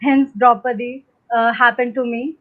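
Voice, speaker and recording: This is a young woman from India with a high voice, whose first language is Hindi, answering questions on a panel. She rambles and hesitates, with many fillers and restarts. Her voice comes through a thin, phone-like video call line. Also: level tone, moderate pace. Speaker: panel guest. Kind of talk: unscripted conversational answers.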